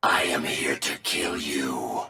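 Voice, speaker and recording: Combat robot, bright hard tone, formal, literal, menacing, echoing, video game. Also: male voice, extremely low pitch, slow pace, heavily acted. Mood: cold, menacing. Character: menacing male-voiced artificial intelligence combat robot, coldly obedient. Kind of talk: dramatic video game voice acting.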